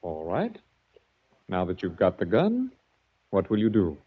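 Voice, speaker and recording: Smug, swooping radio villain. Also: male voice, low-pitched, wildly swooping pitch, a little slow, husky, heavily acted. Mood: mock courtesy. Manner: mockingly courteous, taunting, articulate. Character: smooth, smug, menacing villain framing his captive. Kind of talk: 1940s radio mystery acting. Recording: dull vintage 1940s radio recording.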